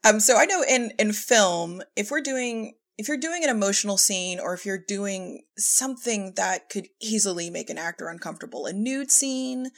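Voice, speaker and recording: A woman's voice: mid-range voice, lively intonation, moderate pace, hardly any pauses. A woman speaking informally as a director telling work anecdotes in an interview, often quoting herself and chuckling. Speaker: podcast guest, audio drama director and filmmaker.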